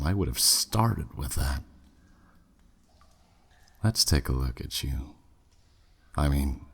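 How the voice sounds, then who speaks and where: A man voicing a flirty, menacing demon who taunts a captive in a teasing, smug way, in a scripted ASMR roleplay. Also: heavily acted. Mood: mocking, flirty. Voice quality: very deep, husky, breathy at phrase ends. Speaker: audio roleplay performer.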